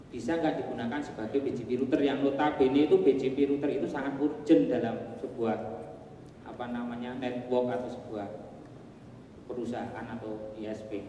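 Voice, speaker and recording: A man with a Javanese accent giving a relaxed conference talk, with joking asides and plenty of fillers. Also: medium pitch, lo-fi sound, slight background noise. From Java. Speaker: conference presenter. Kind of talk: casual technical presentation. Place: large hall. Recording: echoey hall, voice from far off.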